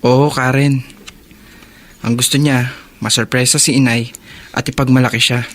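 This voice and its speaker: A man's voice: mid-range voice, little pitch movement, normal speed, lightly acted. A man playing a troubled, dutiful elder brother in a radio drama, earnest and polite. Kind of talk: Filipino radio drama acting.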